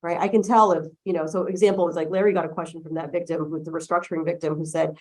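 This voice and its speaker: A woman's voice: low voice, fairly even intonation, fast, darkish tone. A woman lawyer whose first language is Chinese, speaking in a conference room in a chatty way and often checking in with "right?". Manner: chatty, tags points with "right?". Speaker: lawyer, panel guest. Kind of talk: conversational panel talk.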